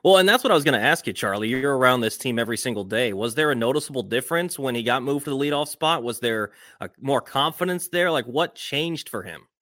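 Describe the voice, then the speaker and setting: A man co-hosts a sports show, putting casual questions to a guest. He talks quickly at a medium pitch, with a dry, close sound. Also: animated, a bit bright, no echo. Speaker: baseball show co-host.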